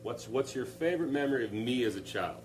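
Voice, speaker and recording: A man's voice: medium pitch, animated, fast, darkish tone, slight background noise, poor audio. A young man hosting a casual live Q&A, upbeat and joking as he reads out viewer comments. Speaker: live stream host.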